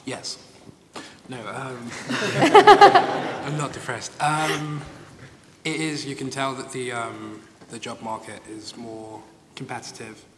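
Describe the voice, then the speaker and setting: A 21-year-old man, a law student on a panel, talking in a relaxed, casual way. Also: mid-range voice, slow. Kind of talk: live panel discussion. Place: conference room. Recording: echoey hall with clear noise.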